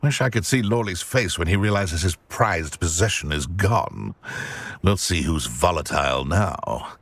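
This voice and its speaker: Husky, swooping, gloating British wizard schemer. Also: male voice, sits low, animated, a little slow, a bit bright, no echo, heavily acted. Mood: gloating, scheming. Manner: theatrical, gloating. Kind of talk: video game voice acting.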